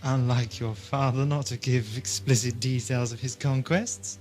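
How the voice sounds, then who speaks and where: A man's voice: mid-range voice, steady tone, measured pace, darkish tone, soft background music, lightly acted. A man playing an inquisitive, wry and polite time-travelling adventurer in an audio drama, with a questioning manner. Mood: probing.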